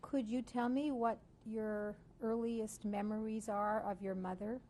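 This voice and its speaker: A woman conducts an oral history interview, putting her questions slowly and formally. Her voice is a little high and warmly mellow. A faint hiss runs under the recording. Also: steady tone.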